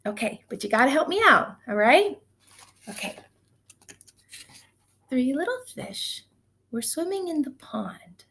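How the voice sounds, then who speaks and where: A woman with a fairly high, very animated voice leads a lesson for young children. She speaks slowly, stretching words in a sing-song way, and often puts encouraging questions to her listeners. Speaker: early childhood teacher. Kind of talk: children's lesson with counting, chants and simple stories.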